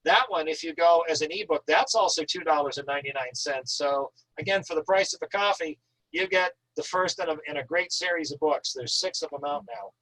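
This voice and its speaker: Higher-pitched man, effusive and talkative. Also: brisk, sharp tone, dry recording, low-quality recording. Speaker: author, guest at an online reading. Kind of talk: casual enthusiastic chat about writing.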